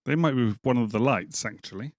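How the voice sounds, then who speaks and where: A man's voice: medium pitch, brisk. A man in his thirties casually reacting to a game update video, thinking aloud as he watches, on a headset in a small home room. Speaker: gaming YouTuber reacting to a video.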